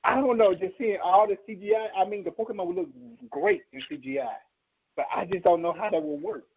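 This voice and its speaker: Animated, higher-voiced man chatting. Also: brisk, gravelly, highs cut off, a little hiss or hum. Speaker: podcast co-host. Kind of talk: casual chat.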